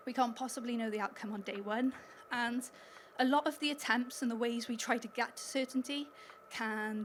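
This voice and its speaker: Welsh woman, conference speaker, slightly higher pitch, upbeat and chatty, light room ambience. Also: a little hiss or hum. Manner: upbeat, chatty, laughs between points. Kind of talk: conference talk. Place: conference hall stage.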